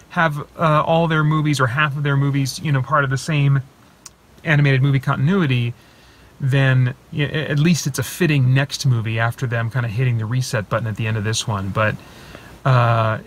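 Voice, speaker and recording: A man in his thirties giving a casual, rambling film review from inside a parked car, recorded on a phone held at arm's length. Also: medium pitch. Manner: animated, rambling with many fillers and restarts. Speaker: vlogger reviewing films.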